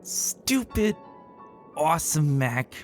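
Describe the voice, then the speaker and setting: Man voicing a slow, grumbling young pegasus mare. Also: on the high side, very animated, voice pushed up. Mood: exasperated grumbling. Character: grumpy young female pegasus pony, muttering complaints. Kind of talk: dramatic story reading. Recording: background music behind the voice.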